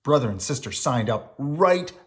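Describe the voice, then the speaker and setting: A reader narrating a children's picture book aloud in an expressive storytelling style. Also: medium pitch, moderate pace.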